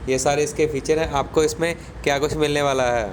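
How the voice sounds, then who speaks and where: Higher-voiced man presenting a tech unboxing. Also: flat delivery, a little fast, slight background noise. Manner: explanatory, step-by-step. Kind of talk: casual product unboxing narration.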